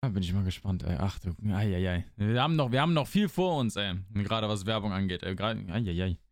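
Guy on a podcast throwing in quick reactions, lowish voice, dry sound. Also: lively intonation, dry recording. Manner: short backchannel replies, playful exclamations. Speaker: podcast co-host, small YouTuber. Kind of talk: casual chat.

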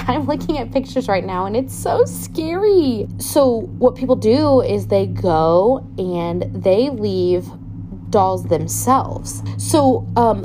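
A woman's voice: medium pitch, noisy background. A woman hosting a casual solo podcast from home, telling a creepy legend in a chatty, rambling way with playful asides. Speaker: podcast co-host. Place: home, with her cat nearby.

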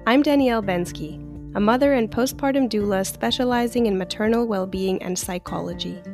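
A woman hosting a birth story podcast as a doula, friendly and quick to laugh, with many fillers. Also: medium pitch, a little mellow, soft background music. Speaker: podcast host and postpartum doula. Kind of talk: podcast interview with scripted intro.

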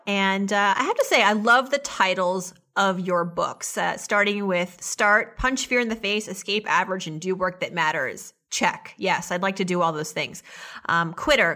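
A woman's voice: mid-range voice, normal speed, pushed and bright. A woman speaking as a podcast host, introducing and interviewing guests in an upbeat style.

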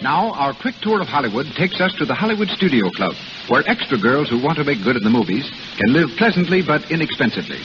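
A man's voice: on the high side, sing-song, fast talker, few pauses. A man announcing a scripted commercial in the style of 1930s radio. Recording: muffled noisy 1930s radio broadcast.

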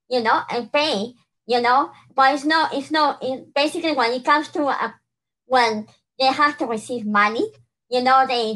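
Bright-voiced woman from Peru. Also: fairly high pitch, rough recording. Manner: earnest, many restarts and "you know". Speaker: podcast co-host. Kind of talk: casual conversation.

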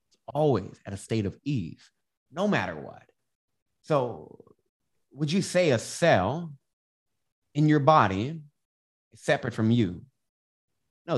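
A man with a slight lisp, a guest on a podcast, talking casually and animatedly, swinging from loud bursts to hushed storytelling. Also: medium pitch. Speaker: podcast guest, transformation coach.

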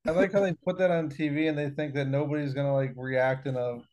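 A man's voice: fairly high pitch, steady tone, fast talker, darkish tone. A young man co-hosting a casual podcast, joking and stumbling over repeated words. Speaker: podcast co-host. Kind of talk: casual crude banter.

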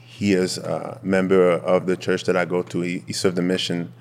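A young man from Quebec whose first language is French, speaking English with a Quebec French accent as an interview guest, relaxed and candid with many fillers. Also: sits low, normal speed, gravelly, big-room sound. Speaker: guest, football player. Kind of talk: casual interview.

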